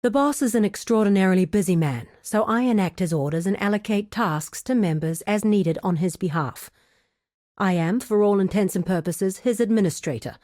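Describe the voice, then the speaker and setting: A woman's voice: mid-range voice, normal speed, mellow, no room sound, clean studio sound, close to an everyday voice. A woman voicing a composed, formal administrator of a criminal guild in a video game, speaking precisely and with cool courtesy. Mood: formal, businesslike. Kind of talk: scripted video game character dialogue.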